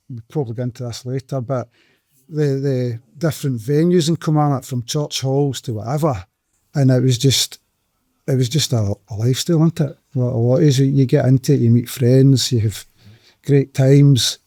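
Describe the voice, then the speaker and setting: A man's voice: medium pitch, normal speed, dark tone, no echo. A man of sixty, telling easygoing, rambling stories. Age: 60s. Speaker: music fan guest. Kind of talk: casual reminiscing.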